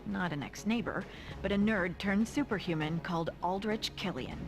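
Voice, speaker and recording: A woman's voice: medium pitch, normal speed, natural voice. A woman playing a superhero's sardonic girlfriend, narrating the adventure in a scripted style with wry, playful asides. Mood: wry, breezy. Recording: music behind the voice.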